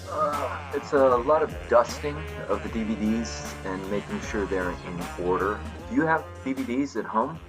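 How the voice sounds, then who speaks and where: A man's voice: medium pitch, animated, moderate pace, hardly any pauses, music in the background, lightly acted, poor audio. A man reading the part of a blunt, casual young video store manager in a table read of a TV script.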